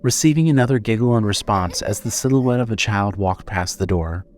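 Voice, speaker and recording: A man's voice: on the low side, a little fast, soft background music. A man narrating a scripted horror story as the narrator of an audio drama.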